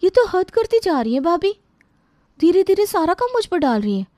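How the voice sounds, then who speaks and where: A woman's voice: very high-pitched, very animated, normal speed, dark tone, no room sound, studio quality, pitched above the performer's own voice. A woman voicing an overworked, resentful young working daughter-in-law with a complaining, pointed manner. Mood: exasperated.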